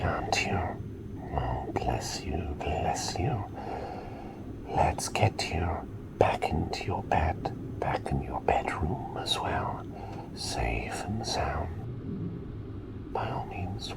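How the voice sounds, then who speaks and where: A man playing a gentle, courteous plague doctor in a whispered ASMR roleplay, breathy and soothing, close to the microphone. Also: animated, exaggerated voice, rough recording. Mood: soothing, tender. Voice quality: breathy whisper with low gravelly creak. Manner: soothing, unhurried, quaintly polite. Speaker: ASMR performer.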